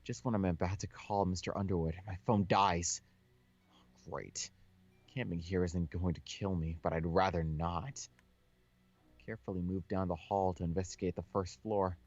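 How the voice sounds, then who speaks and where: A man with a fairly low voice reads game narration aloud in a casual playthrough style. The sound is close and dry, with a slightly dull, lo-fi microphone. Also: fairly even intonation, normal speed, a little mellow, no echo. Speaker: co-host playing and reading a visual novel aloud. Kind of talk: reading game text aloud with casual reactions.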